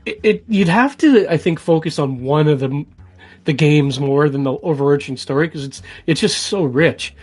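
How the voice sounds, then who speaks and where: A man's voice: on the high side, moderate pace, a little mellow. A man co-hosting a podcast, talking casually and conversationally. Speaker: podcast co-host. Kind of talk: casual chat about video games.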